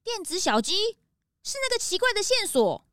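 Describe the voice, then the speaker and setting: A woman's voice: very high-pitched, sing-song, moderate pace. A woman playing a cheerful, proud young animal sidekick detective in a children's audio drama, playful and confident. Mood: curious, frank. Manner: playful, confident, declamatory when deducing. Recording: music behind.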